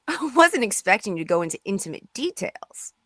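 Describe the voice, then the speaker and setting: A woman's voice: medium pitch, sing-song, moderate pace, a bit bright, no room sound. A woman reading a captain's hurt, teasing old flame in a live sci-fi script read, with a pointed manner.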